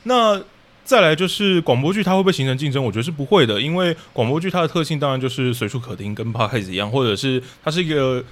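Fast-talking Taiwanese Mandarin man, explanatory podcast guest. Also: mid-range voice, lively intonation. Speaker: guest, radio drama troupe leader. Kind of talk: casual podcast interview answers.

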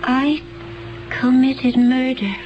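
A woman's voice: high voice, little pitch movement, slow, slight background noise. An actress in a 1970s radio drama playing a mysterious young woman, detached and wondering, with formal phrasing. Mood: dazed, wondering. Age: young adult. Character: mysterious, dazed young woman accused of killing. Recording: muffled old radio, music behind.

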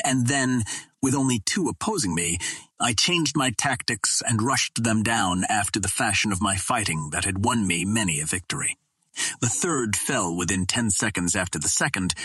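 A man reading an adventure novel aloud as scripted dramatic narration, in a measured, literary storytelling manner. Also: lowish voice, sharp tone, husky, no room sound. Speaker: audiobook narrator.

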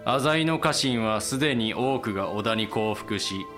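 An actor playing a cold, imperious warlord in a period audio drama, giving terms in formal, archaic, unyielding speech. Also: male voice, lowish voice, animated, moderate pace, a bit bright, faint music behind.

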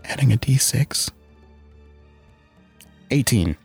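A man's voice: medium pitch, expressive, takes it slowly, gravelly, slightly rough, faint music behind. A man playing in a tabletop role-playing podcast, speaking casually, partly in character in his everyday voice. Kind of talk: casual in-character game chat.

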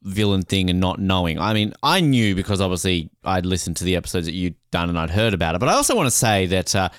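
Podcast co-host, male, Australian. Rambling, jokey, sweary chat with animated intonation, recorded dry. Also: low voice, fast talker, clear, resonant tone, no room sound.

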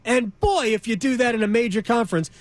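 A man's voice: very high-pitched, fast, dry recording. A man hosting a sports talk radio show in a casual, emphatic and opinionated way on a studio microphone. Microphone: close studio microphone.

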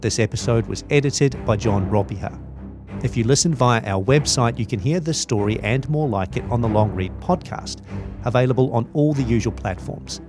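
Kiwi guy doing podcast credits, normal pitch, music going behind him. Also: normal speed. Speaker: podcast announcer. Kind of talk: scripted podcast outro. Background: music bed.

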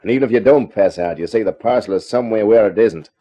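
An actor playing a cool, laconic private eye in a 1950s radio crime drama. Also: male voice, lowish voice, fast, dark tone, slightly rough. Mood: defiant, cool. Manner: laconic, unflappable. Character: cool, wisecracking hardboiled American private eye. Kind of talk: 1950s radio crime drama, first-person narration and dialogue. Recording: dull old radio recording.

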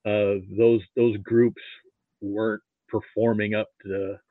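An American man from Nebraska talking casually about sports as a podcast guest, halting and opinionated. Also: on the low side, level tone, dry recording. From Nebraska, United States. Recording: thin phone-like call audio.

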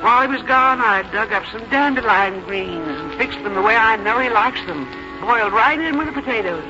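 A woman's voice: on the high side, expressive, brisk, music in the background, close to an everyday voice. An actress playing a plain-spoken, strong-willed mother in her seventies in a 1950s radio drama, with a folksy, firm way of talking. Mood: fond reminiscing. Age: 70s. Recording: dull, noisy old radio broadcast recording.

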